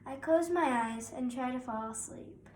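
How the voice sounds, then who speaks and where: A woman's voice: sits high, a little slow, recorded from a distance. A young girl of about nine reading a children's picture book aloud as narrator. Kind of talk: scripted children's story narration. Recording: roomy echo, faint background noise.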